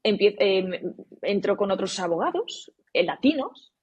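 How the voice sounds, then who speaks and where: A woman from Spain in a relaxed podcast conversation, her voice husky and breathy at a medium pitch. She talks in long turns, breaks off words to restart them and repeats key phrases for emphasis. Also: moderate pace. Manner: frequent restarts, emphatic repetition. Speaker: podcast guest, actress. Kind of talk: casual interview conversation.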